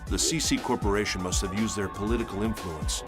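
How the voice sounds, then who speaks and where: An actor playing a sober engineer recounting his journal in measured, grave narration for a video game. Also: on the low side, over music, natural voice. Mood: confiding. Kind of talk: scripted dramatic narration.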